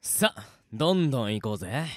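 A man acting in an audio drama as a cocky veteran death-game player who teases his rivals in rough, casual speech. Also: mid-range voice, very animated, a little fast, a little mellow, dry recording, clean studio sound, lightly acted. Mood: mocking, amused.

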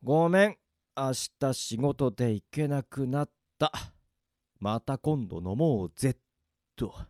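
A man's voice: medium pitch, lively intonation, a little slow, a little mellow, no echo, clean studio sound, natural voice. A man playing an overworked young office worker in an amateur radio drama, weary and muttering to himself.

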